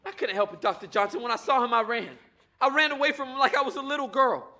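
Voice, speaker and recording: An actor playing a troubled, self-loathing young man who speaks haltingly and emotionally in a stage play. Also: extremely high pitch, bright, forceful tone, full character voice. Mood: anguished. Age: young adult.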